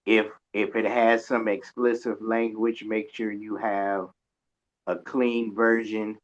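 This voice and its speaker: A man hosting a radio interview, chatting casually with halting, hesitant phrasing. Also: on the low side, muffled recording. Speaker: radio host.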